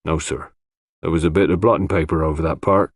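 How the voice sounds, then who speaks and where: Man voicing a low, mumbling old gardener. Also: steady tone, dry recording, clean studio sound. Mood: cautious, deferential. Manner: mumbling, hesitant, slow rustic speech. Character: slow, cautious, deferential older male gardener with bent back.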